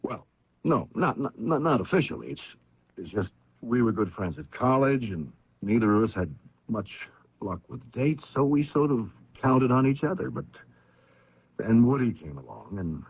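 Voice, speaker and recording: Nervous evasive suspect, gravelly, swooping pitch, stammering and halting, radio drama, muffled old recording. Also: male voice, sing-song. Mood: defensive. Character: evasive, flustered man under police questioning.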